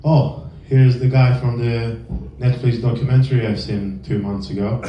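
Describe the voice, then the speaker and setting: A young man from northern Romania with a Romanian accent, performing a halting, filler-heavy deadpan stand-up set in a small comedy club. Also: medium pitch, far from the mic. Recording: echoey room, heard from afar, dull sound. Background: audience laughter.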